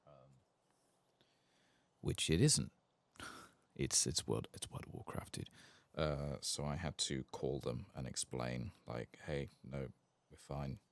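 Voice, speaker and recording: A man giving casual, rambling, wry and sweary soft-spoken ASMR commentary on a game, close to the mic. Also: rumbling low, steady tone, slow pace, dry recording. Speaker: host of a game playthrough channel.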